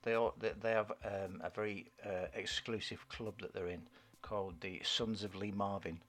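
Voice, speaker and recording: A man co-hosting a podcast, chatting casually about film trailers in a husky voice, rambling and searching for words. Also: fairly low pitch, steady tone, moderate pace, a bit bright. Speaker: podcast co-host.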